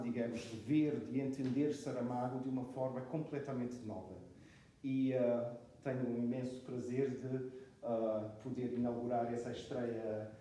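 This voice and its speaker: A man's voice: mid-range voice, dark tone, far from the mic, a little hiss or hum. Hesitant male host in an echoey room. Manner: hesitant, many fillers. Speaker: event host introducing the speaker. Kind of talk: spontaneous introduction. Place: small lecture room. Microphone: far camera.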